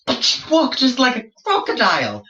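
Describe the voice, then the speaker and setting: A storyteller voicing a pompous, excitable English explorer who fusses over classifying animals. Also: medium pitch, moderate pace, echoey room, recorded from a distance, lo-fi sound, pitched above the performer's own voice. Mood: fussy. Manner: fussy, excitable.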